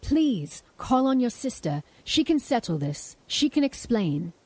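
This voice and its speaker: Captive heroine female actor: slow, pleading yet defiant, raspy-edged voice, dry close fantasy audio-drama sound. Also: fairly high pitch, big pitch swings, darkish tone, no echo, exaggerated voice. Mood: pleading, shocked.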